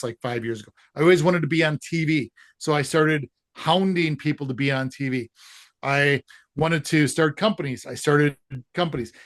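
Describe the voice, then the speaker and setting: A man's voice: a little high, no room sound, studio quality. A man guesting on a podcast, talking in an upbeat, emphatic, motivational way. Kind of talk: casual interview.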